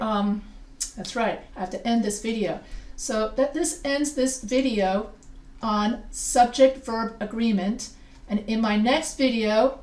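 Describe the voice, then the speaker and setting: A woman teaching a recorded grammar lesson. English is not her first language, and she explains slowly, often repeating and restarting phrases. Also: a little high. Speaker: writing teacher. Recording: echoey room, a bit far from the mic.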